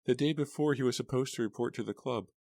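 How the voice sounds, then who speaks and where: A man's voice: mid-range voice, lively intonation, a little fast, no room sound, clean studio sound, voice pushed up, close to an everyday voice. A man voicing a grieving middle-aged mother on a speakerphone, trembling, stammering and sobbing. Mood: distraught, tearful.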